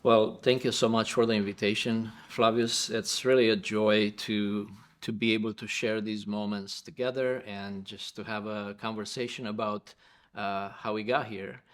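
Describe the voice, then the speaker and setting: A middle-aged man from Romania speaks in a low voice, recounting his story for a podcast interview. He talks earnestly and a little haltingly, with fillers and words repeated as he gathers his thoughts. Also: little pitch movement. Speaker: interview guest, pastor and professor. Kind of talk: reflective life-story interview.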